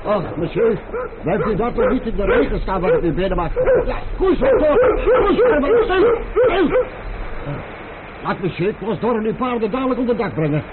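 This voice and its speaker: An actor playing a servile, anxious country innkeeper in a radio play, effusive and wordy in manner. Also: male voice, extremely high pitch, wildly swooping pitch, normal speed, full character voice. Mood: eager, fawning. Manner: effusive, obsequious, nervous. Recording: muffled, noisy old radio recording.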